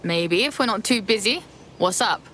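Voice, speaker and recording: A woman's voice: mid-range voice, lively intonation, moderate pace, fairly bright tone, slight background noise, natural voice. A woman playing a streetwise young hacker with a London accent in a video game, slangy and blunt. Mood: casual, confident.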